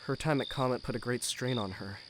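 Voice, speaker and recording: A man's voice: mid-range voice, a little fast, noisy background, close to an everyday voice. A man playing a young, uneasy crew member in an audio drama, earnest, nervous and defensive. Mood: wary, defensive. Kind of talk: scripted audio drama acting.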